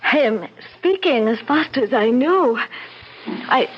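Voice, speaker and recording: An actress playing an excitable young foreign woman in a 1970s radio drama, speaking in halting, breathless phrases on a muffled old broadcast. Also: on the high side, slight background noise. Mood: shaken.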